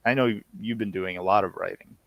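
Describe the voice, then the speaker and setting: Laid-back man with a lowish voice. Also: steady tone, brisk, vocal fry, a bit bright. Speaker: podcast guest, audio series co-creator. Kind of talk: casual interview chat.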